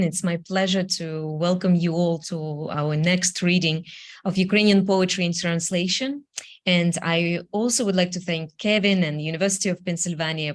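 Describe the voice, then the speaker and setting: Lowish-voiced woman giving an earnest welcome. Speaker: event co-host. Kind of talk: prepared welcome speech.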